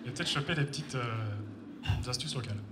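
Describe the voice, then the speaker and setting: A man's voice: medium pitch, brisk. A young man from France telling a comic personal story on stage, wry and self-mocking, talking straight to the audience. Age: young adult. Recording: echoey hall with faint noise.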